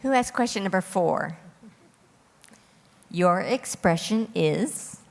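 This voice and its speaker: A woman moderating a formal quiz competition, giving clear, orderly instructions. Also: medium pitch, animated, slow pace, a crowd in the background, background noise. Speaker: quiz host reading rules and judging calls. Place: large hall at a live competition. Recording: echoey hall with long reverb.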